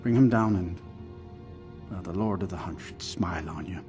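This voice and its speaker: A man voicing a remorseful, cursed werewolf in a video game, speaking in a halting, brooding, confessional way. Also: low voice, darkish tone, faint music behind, close to an everyday voice. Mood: weary, hopeful.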